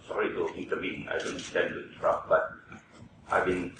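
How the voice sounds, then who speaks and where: A man serving as counsel, addressing judges politely and haltingly in a formal hearing. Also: sits low, completely flat delivery, background noise. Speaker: counsel at a tribunal. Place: large courtroom. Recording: very echoey courtroom, from far off.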